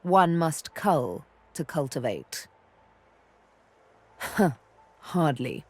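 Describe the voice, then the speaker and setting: A woman's voice: sits low, sing-song, very slow, husky, heavily acted. A woman voicing a sly elf rogue in a video game, playful and flirtatious with a theatrical, threatening edge. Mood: sly, wry. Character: sly elf woman rogue, former slave, teasing and menacing. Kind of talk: video game character acting.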